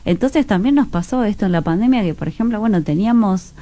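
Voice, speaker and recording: A woman's voice: medium pitch, lively intonation, fast, warm tone, no echo. A woman with a Rioplatense Argentine accent, speaking conversationally as a guest in a radio interview.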